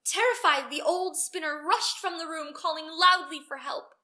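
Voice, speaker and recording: A woman storyteller reading a children's storybook aloud with expressive narration. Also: very high-pitched, moderate pace.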